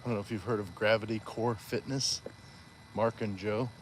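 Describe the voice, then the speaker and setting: A man's voice: on the low side, fairly even intonation, normal speed, a little hiss or hum, low-quality recording. A man hosting a live stream while walking outdoors, chatting casually and reading viewer comments aloud with playful asides. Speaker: livestream host.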